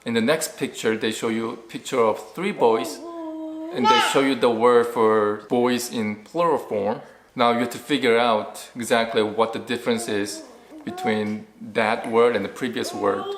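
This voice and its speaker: A man's voice: medium pitch, steady tone, moderate pace, crowd noise. A man co-hosting a family vlog, talking casually and conversationally as a father. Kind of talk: casual couple chat with sponsor pitch. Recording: roomy echo, faint background noise.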